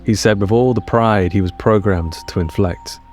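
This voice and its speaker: A man narrates a fiction story in a fairly low voice. He is measured and deliberate, setting out short dramatic phrases over a music bed. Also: darkish tone.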